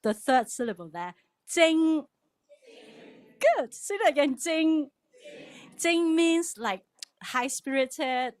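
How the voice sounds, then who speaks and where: Woman, chatty and laughy, bit higher voice, gets folks to repeat after her. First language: Cantonese. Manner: chatty, laughs often, leads the crowd in repeating words. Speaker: guest speaker at a worship service. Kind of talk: casual cultural talk with call-and-response.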